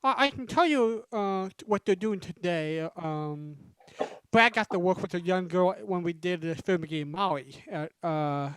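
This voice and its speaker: Clear-voiced woman hosting a video call. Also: fairly low pitch, measured pace, no room sound. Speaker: panelist and event host. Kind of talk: casual explanation.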